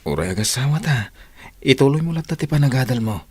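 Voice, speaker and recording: A man's voice: medium pitch, expressive, fast talker, a little mellow, husky. A man with a Filipino Ilocano accent playing an earnest young suitor and dutiful son in a radio drama. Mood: pleading.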